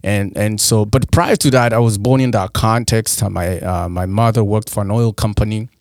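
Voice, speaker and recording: A low-pitched young man from Rwanda speaks as a podcast guest. He is soft-spoken and halting, often restarting his sentences. The sound is close and dry. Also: steady tone, dry recording. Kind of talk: personal story in a podcast interview.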